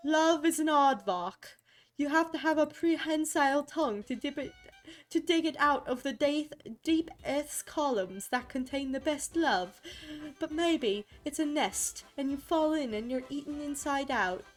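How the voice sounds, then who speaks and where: A woman voicing an eerie monster banana that makes slow, cryptic pronouncements in a horror story. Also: high-pitched, expressive, soft background music, voice pushed up. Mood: cryptic, ominous.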